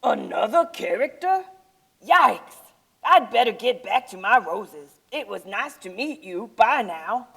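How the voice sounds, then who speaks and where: Slow, gravelly yeti played by a woman for a children's puppet show: misunderstood, gentle, friendly loner. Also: on the high side, sing-song, heavily acted. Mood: flustered. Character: misunderstood, lonely, gentle male yeti living atop mountain.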